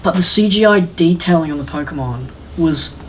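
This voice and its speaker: A man's voice: fairly high pitch, lively intonation, moderate pace, poor audio. Enthusiastic man over background noise. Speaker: podcast panelist. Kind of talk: casual, enthusiastic podcast chat.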